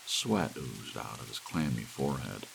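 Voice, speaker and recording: A man reading an audiobook story in a dramatic, hushed storytelling style, as a narrator. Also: extremely low pitch, unhurried, vocal fry. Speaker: audiobook reader. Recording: steady hiss of soft rain. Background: soft rain.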